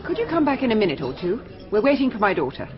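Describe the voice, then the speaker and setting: An actress playing a worried, briskly motherly British woman in a radio drama. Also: mid-range voice, sing-song, soft background music, close to an everyday voice. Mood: brisk, uneasy. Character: worried, quick-tongued mother of a young girl. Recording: muffled radio recording with hiss.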